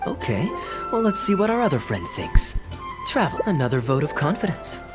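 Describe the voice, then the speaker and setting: A man's voice: fairly high pitch, sing-song, normal speed, loud music behind the voice. A man voicing a friendly, earnest organizer in a fantasy game, upbeat and persuasive.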